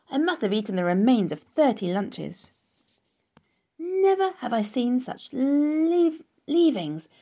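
A woman voicing a smug, callous, gluttonous male rat from a storybook, with a husky voice and a drawn-out, snarling delivery. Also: fairly high pitch, warm tone, pitched above the performer's own voice, exaggerated voice. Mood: gloating, revelling. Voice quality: husky, hoarse.

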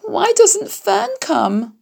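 A woman's voice: sits high, big pitch swings, moderate pace, warm tone, husky, voice pushed up, full character voice. A woman doing a small frightened piglet. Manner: small, plaintive. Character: frightened baby piglet, timid.

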